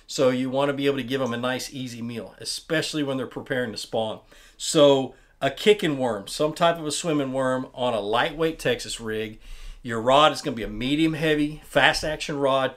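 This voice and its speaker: Thirties male host, casual tackle explainer, plainspoken, mid-pitched, small studio desk. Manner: relaxed, plainspoken, restarts words while showing gear. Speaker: fishing channel host. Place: small indoor studio with wooden wall.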